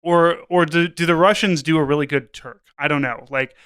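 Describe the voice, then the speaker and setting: A man's voice: on the high side, fairly even intonation, moderate pace, a bit bright, clear, resonant tone. A man co-hosting a podcast in casual comedic banter, joking, swearing and repeating his words. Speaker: podcast co-host.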